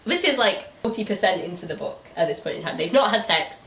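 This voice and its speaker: Lively British woman, echoey room. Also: mid-range voice, quick pace, background noise. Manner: giggly, informal. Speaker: book reviewer and host. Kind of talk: casual chat. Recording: echoey room, distant mic.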